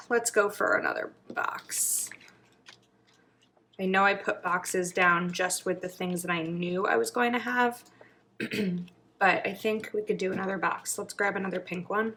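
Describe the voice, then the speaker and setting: A woman chatting casually while decorating her planner, thinking aloud and sometimes dropping to a murmur, her throat a little raspy from a long filming session. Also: medium pitch, fairly even intonation, a little slow, bright, forceful tone, slight background noise. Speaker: planner vlogger. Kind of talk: casual planning video chat.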